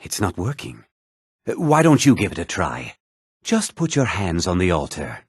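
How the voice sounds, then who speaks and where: An actor playing an obsessed, earnest museum keeper with cult roots in a fantasy video game, in a theatrical, rough-edged voice. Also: male voice, low voice, huge pitch swings, normal speed, dry recording, studio quality, exaggerated voice. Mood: intent, proud. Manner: theatrical, earnest, swinging delivery. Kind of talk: scripted video game dialogue.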